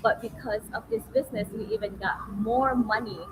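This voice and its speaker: A woman in her forties with a high voice hosts a casual livestream. She tells things in a chatty, giggly, informal way. Clearly noisy restaurant ambience sits behind her. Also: a little fast. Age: 40s. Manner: chatty, giggly, animated storyteller. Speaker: livestream host, online business owner. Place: restaurant, waiting for food. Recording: noisy ambience of a meal venue.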